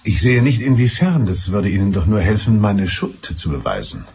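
A low-voiced, evasive husband. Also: male voice, animated, moderate pace, a little mellow, exaggerated voice. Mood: evasive, polite. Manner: hesitant, evasive, hemming with throat clearing. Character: evasive, polite husband hinting at a murder confession. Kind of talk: radio drama acting.

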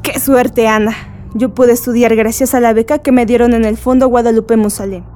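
A woman playing a cheeky, excited young graduate with a Mexican accent in a radio drama, playful and teasing. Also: a little high, close to an everyday voice. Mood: excited, hopeful. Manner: playful, teasing, quick to laugh.

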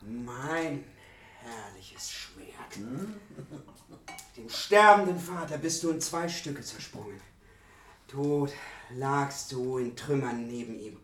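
A fearless young hero with declamatory, exultant swagger in a fantasy radio drama. His slow, sweeping delivery rings out a little way from the mic in a roomy space, with music behind. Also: mid-range voice, expressive, a bit bright, recorded from a distance, slight background noise. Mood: reverent, triumphant. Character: brash, fearless young male hero forging his sword.

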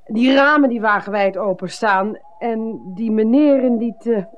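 Actress as high-voiced, indignant scolding wife. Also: female voice, measured pace, highs cut off, close to an everyday voice. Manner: curt, scolding, demanding. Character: stern, indignant wife, sharp-tongued and suspicious. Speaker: actress in a radio play. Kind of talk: comedic radio drama acting.

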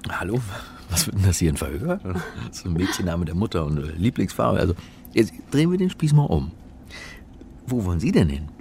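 Low-voiced, wry, flustered scholar. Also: male voice, sing-song, fairly quick, vocal fry, background noise, exaggerated voice. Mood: wry, guarded. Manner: hesitant, sardonic, exasperated. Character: flustered, wry male scholar caught in trouble. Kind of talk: radio drama dialogue and first-person narration.

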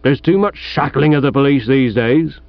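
A man's voice: mid-range voice, creaky, dry recording, natural voice. Guy doing a glum british country copper for an audiobook, heavy and aggrieved, dry lo-fi sound. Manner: slow, dark, uneducated grammar. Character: ponderous, aggrieved, gloomy village police sergeant.

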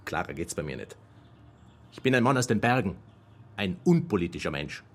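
Proud filmmaker, low, animated voice. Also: male voice, normal speed, heavily acted. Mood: defiant, proud. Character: ambitious, proud, evasive mountain-born male filmmaker. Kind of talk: German radio play acting.

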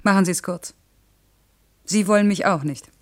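A woman's voice: medium pitch, moderate pace, lightly acted. Blunt, guarded actress as stubborn working mother. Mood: defiant. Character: proud, stubborn working single mother, curt and defensive. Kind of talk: German radio play acting.

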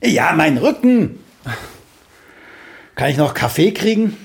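An actor playing an aging celebrated playwright and mentor in a radio play, raspy, blunt and pedantic, with a dryly cutting drawl. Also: male voice, on the high side, sing-song, takes it slowly, heavily acted. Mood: testy, fussy. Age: older. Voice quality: raspy, gravelly. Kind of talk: German radio play acting.

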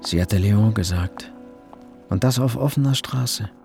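An actor voicing the brooding inner thoughts of an anxious, secretive man in a radio play, in a creaky voice. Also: lowish voice, a little slow, over music. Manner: introspective, suspicious. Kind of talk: radio play interior monologue.

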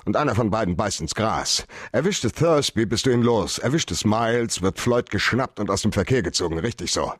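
An actor playing a hard-boiled, cynical private detective in a radio crime drama, speaking in a terse, sardonic, interrogating manner. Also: male voice, on the low side, lively intonation, a little fast, few pauses, husky, dry recording, studio quality. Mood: coldly accusing. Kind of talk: 1990s German radio crime drama acting.

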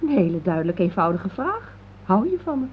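A woman's voice: mid-range voice, big pitch swings, a little fast, close to an everyday voice. An actress playing a neglected, earnest housewife in her forties in a radio play, hesitant yet persistent and plaintive. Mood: insistent, hurt. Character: neglected, earnest, insistent housewife of forty-one. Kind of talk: naturalistic Dutch radio play acting. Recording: muffled radio recording with hum and noise.

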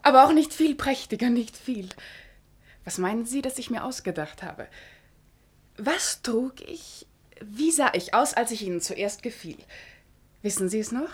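An actress playing a young, dutiful, anxious bride in a classical German radio play, with soft, hesitant, formal delivery. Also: female voice, a little high, animated, unhurried, pauses often. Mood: tender, playful. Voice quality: breathy. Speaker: actor.

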